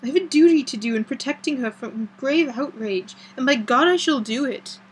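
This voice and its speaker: A woman's voice: high voice, moderate pace, slight background noise, close to an everyday voice, rough recording. A woman reading an anguished, indignant young lord in an audiobook, with impassioned, formal exclamations. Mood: outraged.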